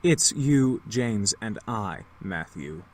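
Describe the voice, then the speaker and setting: A man's voice: lowish voice, sing-song, slow, crowd noise. A man voicing a stern, proper young male demon with a dry, correcting manner in a visual novel game. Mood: reproving.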